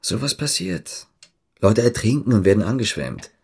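An actor playing a weary policeman, a man with a husky voice and a dry, curt manner, in a radio drama. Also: lowish voice, very animated, moderate pace, a little mellow, exaggerated voice. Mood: matter-of-fact. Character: dry, weary small-town East German policeman.

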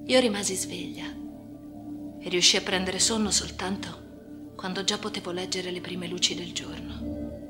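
An actress playing a troubled, defiant young woman in a radio monologue, recounting her life with an intense delivery that moves between hushed and agitated. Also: on the low side, steady tone, unhurried, long pauses, natural voice. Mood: wistful, hushed. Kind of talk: dramatic first-person radio monologue. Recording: music under the voice. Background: music.

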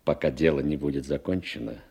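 A male actor playing an older, paternal gentleman in a radio play, confiding and secretive in manner. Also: extremely low pitch, brisk, gravelly, breathy edge, exaggerated voice. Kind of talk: Russian radio play acting.

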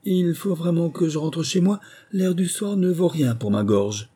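A man's voice: on the high side, unhurried, warm tone, breathy edge, voice pushed up. A man voicing a fussy old female magpie in a storybook, murmuring primly.

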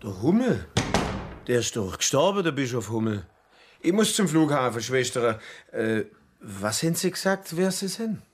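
A flustered man in a hurry in a radio drama. His rough, husky voice jumps in pitch as he stammers impatiently. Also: sing-song. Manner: stammering, halting. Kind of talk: radio play dialogue.

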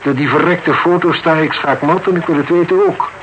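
A man's voice: a little high, brisk, dull audio, lightly acted. Actor playing a blunt, haughty older man, noisy recording. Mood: defiant, cynical. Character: cynical, blunt older businessman, an irritated husband. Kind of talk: radio drama acting. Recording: noisy radio drama recording.

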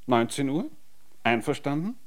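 A courtly, inquisitive gentleman from a law office in a radio play. His mid-pitched voice moves in lively swoops, formal and gallantly flirtatious. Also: a little slow. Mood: businesslike. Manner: formal, old-fashioned gallantry. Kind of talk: radio drama acting.

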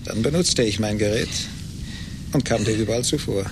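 An actor in a German radio play playing a gloating, vengeful rich man, condescending and deliberate. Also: close to an everyday voice. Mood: smug, gloating. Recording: noisy 1980s radio recording with rumble.

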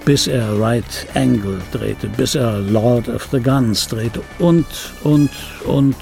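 An actor playing a wry, world-weary middle-aged film dubbing actor, reminiscing in a dry, laconic, intimate radio play monologue with a gravelly, husky voice. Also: male voice, mid-range voice, takes it slowly, a little mellow, faint music behind. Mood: wry, reflective. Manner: dry, laconic, musing aloud. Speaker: radio play actor, first-person narrator.

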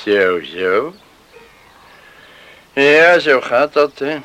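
An actor playing a proud, pious, stubborn farmer in a radio play, curt and grudging. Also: male voice, medium pitch, sing-song, very slow, a bit bright, exaggerated voice. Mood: reserved, gruff. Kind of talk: Dutch radio play acting.

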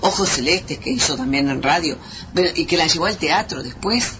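A Uruguayan woman, an actress being interviewed, reminiscing conversationally in a halting but emphatic way. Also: low voice, lively intonation. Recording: dull, noisy lo-fi radio recording.

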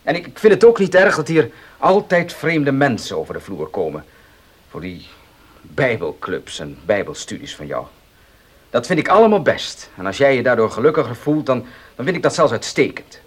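An actor in a Dutch radio play playing a proud, domineering farmer and husband who speaks emphatically. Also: male voice, medium pitch, sing-song, moderate pace, breathy edge. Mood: firm, insistent. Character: proud, domineering gentleman farmer, loving but stubborn husband.

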